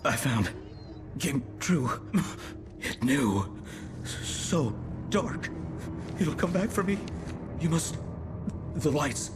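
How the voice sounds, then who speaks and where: An injured, terrified ranger in a video game. He speaks slowly and weakly in halting, gasped fragments, with loud music behind him. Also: a little high, very animated, heavily acted. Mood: terrified, pained. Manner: halting, broken phrases. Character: wounded, terrified male park ranger.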